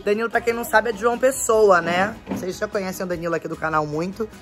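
A woman in her thirties from Brazil, chatting warmly to camera in a casual family vlog, filmed on a phone at arm's length at a country house. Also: medium pitch, fast talker. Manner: chatty and affectionate, talking to camera and to her kids. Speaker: vlogger. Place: country house veranda and garden. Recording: background music.

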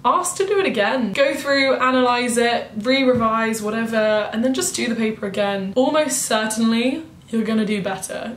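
A young woman talks straight to the camera from her bedroom, chatty and emphatic as she gives study tips. Her voice is a little croaky today. The room adds a noticeable echo, with a faint hum of ambience behind her. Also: on the high side. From United Kingdom. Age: young adult. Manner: chatty, emphatic, acts out quoted excuses. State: slightly croaky voice. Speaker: student vlogger. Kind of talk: casual advice vlog. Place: bedroom at home, indoors.

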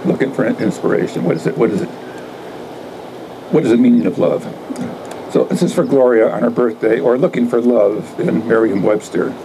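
An older man in his seventies giving a live poetry reading at a microphone outdoors, speaking in deliberate, declamatory short phrases. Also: mid-range voice, gravelly, noisy background. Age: older, 70s. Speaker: poet reading own work. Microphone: microphone on a stand.

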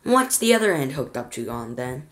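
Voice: medium pitch, big pitch swings, normal speed, a bit bright. Storybook role, acted by a reader: old woman, querulous grandmother, suspicious, easily alarmed, full of complaints.

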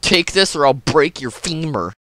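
A man's voice: a little high, lively intonation, normal speed, a bit bright, no room sound. A man performing comedic game voice lines in exaggerated character voices, theatrical and prone to outbursts.

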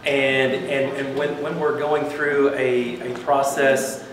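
A man's voice: mid-range voice, little pitch movement, slow pace, crowd noise. A man taking part in a workshop discussion, speaking hesitantly with frequent restarts. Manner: hesitant, many restarts and uhs. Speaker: workshop participant. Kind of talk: group discussion. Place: large meeting room, round table. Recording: echoey room, distant, noisy.

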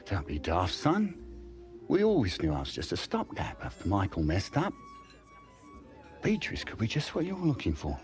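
A man's voice: sits low, huge pitch swings, normal speed, pauses often, soft background music. A British man playing a genial middle-aged father and football agent in a game cutscene. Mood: reassuring. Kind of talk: naturalistic video game cutscene acting.

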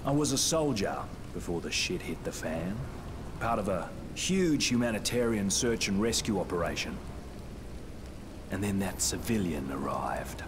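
An actor playing a battered, sardonic former soldier held captive in a video game, speaking dryly and with understatement. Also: male voice, sits low, very animated, measured pace, noisy background. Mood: grim, matter-of-fact. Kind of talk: video game cutscene acting.

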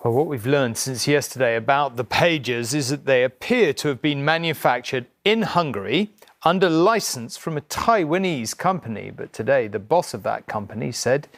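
A man's voice: medium pitch, lively intonation, moderate pace. A middle-aged man presenting scripted news as a studio anchor.

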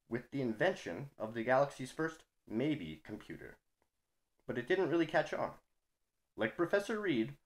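A young man reading his own short story aloud in a small wood-paneled room, with dry, emphatic storytelling, recorded on a webcam close by. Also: on the high side.

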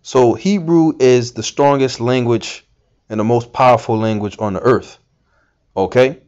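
A man's voice: medium pitch, unhurried, darkish tone, clear, resonant tone, muffled recording. A man giving informal scripture teaching, rambling with many fillers and restarts. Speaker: Bible teacher.

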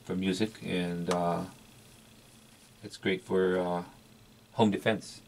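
A man's voice: low-pitched, flat delivery, takes it slowly, vocal fry, a little mellow, a little hiss or hum. A middle-aged man giving a casual, unscripted product review as a collector, rambling hesitantly and repeating words for emphasis. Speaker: vintage electronics collector and reviewer.

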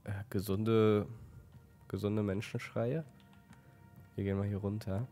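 A man with a slight cold giving relaxed let's play commentary, stumbling now and then while reading game text aloud. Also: lowish voice, a little slow, soft background music. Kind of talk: casual gameplay commentary.

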